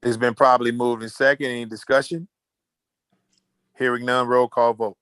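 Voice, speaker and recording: A man chairing a formal board meeting in a halting, procedural way, often restarting his sentences. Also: mid-range voice, flat delivery, a bit bright, clear, resonant tone, no echo. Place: virtual meeting call.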